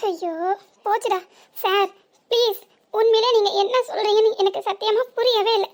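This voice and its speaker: A woman voicing a fallen old woman who groans, wails and pleads for help in an animated story. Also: very high-pitched, normal speed. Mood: pleading. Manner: wailing, pleading and groaning in pain.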